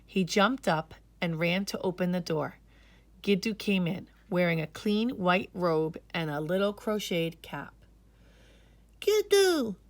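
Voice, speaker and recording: A woman reads a children's story aloud with measured, expressive delivery. Her voice sits somewhat low, and the recording is dry and close. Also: no echo. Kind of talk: scripted storybook narration.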